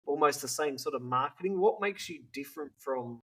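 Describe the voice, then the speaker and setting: A man's voice: on the high side, lively intonation, fairly quick. Laid-back man hosting a podcast. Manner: laid-back, laughs a lot. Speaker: podcast host. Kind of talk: casual podcast interview.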